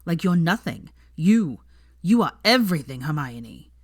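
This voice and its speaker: A woman voicing a fierce, protective young wizard man, speaking forcefully in snarled, clipped commands in audiobook dialogue. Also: low-pitched, big pitch swings, a little slow, dry recording, studio quality, exaggerated voice. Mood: furious, protective.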